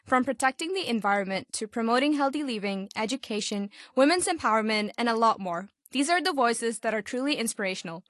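A woman's voice: a little high, expressive, brisk, few pauses, fairly bright tone, no room sound, clean studio sound. A woman hosting an interview, asking guiding questions in a friendly way. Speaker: host. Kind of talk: hosted interview.

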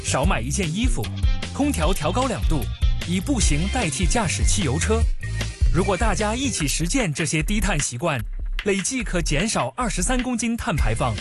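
A man's voice: high-pitched, very animated, moderate pace. A man reading a scripted public service announcement in an upbeat, promotional way. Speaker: advertisement narrator. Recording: voice over loud music bed.

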